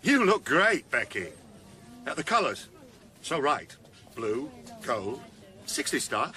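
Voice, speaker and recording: An actor playing an earnest police detective, persuasive and blunt, in a naturalistic radio drama. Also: male voice, mid-range voice, sing-song, slow, sharp tone, full character voice. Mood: flattering. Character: earnest, urgent police detective, charming with women. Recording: noisy ambient background.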